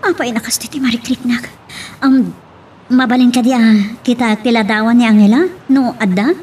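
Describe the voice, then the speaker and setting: A woman's voice: on the high side, fairly even intonation, normal speed, darkish tone, breathy edge, distant mic. An actress playing an earnest, emotional mother in an Ilocano radio drama, with an Ilocano accent. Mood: anguished. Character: earnest, emotional mother with a long-kept secret.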